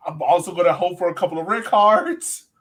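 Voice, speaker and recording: Male podcast host, voice a touch high, casual soccer chat. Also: brisk, fairly bright tone.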